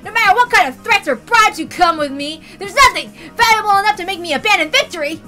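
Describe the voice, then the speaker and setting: A woman's voice: very high voice, lively intonation, fast, bright, forceful tone, soft background music, pitched above the performer's own voice, full character voice. A woman voicing a proud, easily flustered teenage boy athlete with a secret, dramatic and panicky, in a visual novel reading. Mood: boastful. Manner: dramatic, panicked wailing and protesting. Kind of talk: animated visual novel read-aloud.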